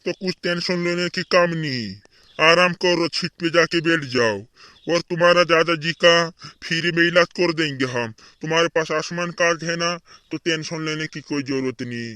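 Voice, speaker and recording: Man playing cartoon hospital doctor, slightly high voice, brisk, reassuring, mildly scolding, repetitive colloquial talk. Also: sharp tone, clear, resonant tone, no echo. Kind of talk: amateur regional comedy cartoon dubbing.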